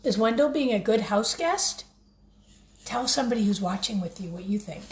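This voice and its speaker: A woman teacher reading a picture book aloud expressively, pausing to put questions directly to young listeners. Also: fairly high pitch, a little hiss or hum. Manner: expressive, addresses children directly.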